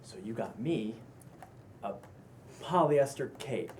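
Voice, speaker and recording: A man acts out a playfully sassy male roommate in a comedy-drama table read. He teases but is supportive, with a very animated, conversational delivery. There is faint noise in the room. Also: mid-range voice, slow pace. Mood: teasing, frank. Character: Max, exasperated, teasing, supportive male roommate and friend. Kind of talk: casual cold read of comedy-drama script.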